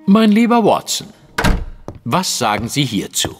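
A man playing a cool, sceptical Victorian detective in an audio drama, speaking precisely and formally with a husky, condescending tone. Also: on the high side, very animated, a little slow, long reverb tail, music in the background. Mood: superior, assured. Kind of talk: theatrical German audio drama acting.

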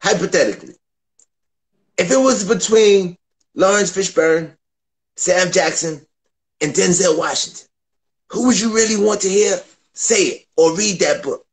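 A man's voice: sits high, a little slow, long pauses, poor audio. Man doing a laid-back puppet dude. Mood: easygoing. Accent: New York. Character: laid-back young male puppet co-host, street slang. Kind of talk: comedic puppet news chat.

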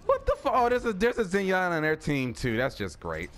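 A man's voice: sits high, wildly swooping pitch, a little fast, a bit bright, slight background noise. A man playing an online shooter with friends, cursing and calling out plays in casual chat. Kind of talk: casual profane game chat.

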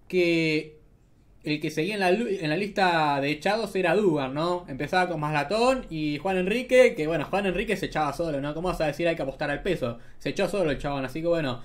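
A young man with an Argentine accent giving casual, sarcastic political commentary, often ending remarks with tag questions. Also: a little high. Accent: Argentine Spanish.

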